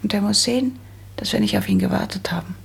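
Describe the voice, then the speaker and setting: Prim bossy practical young woman, radio play, really husky w lots of creak, firm n matter of fact. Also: medium pitch, quick pace, exaggerated voice. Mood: indignant, determined. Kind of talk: German radio drama acting.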